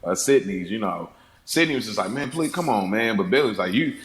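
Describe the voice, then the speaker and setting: A man's voice: on the low side, expressive, fairly quick, fairly bright tone. Man talking films in an echoey room. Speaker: podcast panelist. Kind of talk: casual film discussion.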